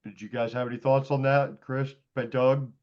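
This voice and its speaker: A man's voice: mid-range voice, level tone, moderate pace, a little mellow. A man hosting a panel and giving blunt, indignant unscripted commentary. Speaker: panel host. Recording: dull, lo-fi audio.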